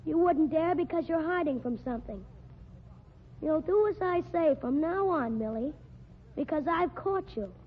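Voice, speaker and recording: A woman's voice: sits high, lively intonation, normal speed. An actress playing a menacing, domineering woman in an old-time radio mystery. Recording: tinny, noisy vintage radio recording.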